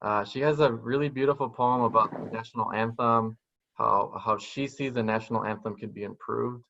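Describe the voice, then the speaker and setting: A man in his thirties, a native Spanish speaker, answering interview questions in a soft-spoken, thoughtful way, speaking from a small room at home over a video call. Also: medium pitch, steady tone, normal speed, vocal fry. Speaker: guest poet. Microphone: video call webcam. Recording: dull lo-fi video call audio.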